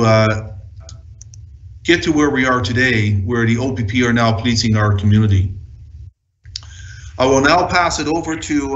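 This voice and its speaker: Male, mid-pitched official, measured list-like delivery, formal council presentation, boxy echoing room sound. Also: a bit bright, highs cut off, a little hiss or hum.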